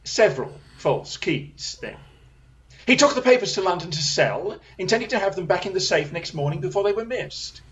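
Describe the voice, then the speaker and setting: Brilliant male detective played by a man, high pitch, lively swoops, sardonic, brisk, radio drama. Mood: reasoning briskly. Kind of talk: radio play acting.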